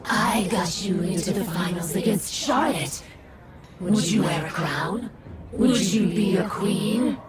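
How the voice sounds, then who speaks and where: A woman's voice: medium pitch, takes it slowly, over loud music, natural voice. A woman voicing a haughty supernatural sorceress wrestler in a video game, speaking in a grandiose, solemn manner. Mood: imperious. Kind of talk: video game cutscene acting. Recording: clearly noisy background ambience.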